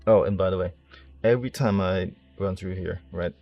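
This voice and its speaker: A man's voice: sits low, moderate pace, creaky, highs cut off, faint music behind. A man playing a video game and commentating casually, rambling and thinking aloud in a self-deprecating way. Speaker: streamer, game player.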